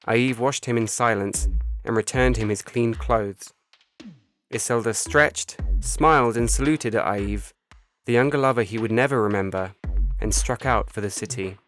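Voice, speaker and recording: A man reading his own short story as a scripted literary narration, measured and solemn. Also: mid-range voice, a bit bright. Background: music bed.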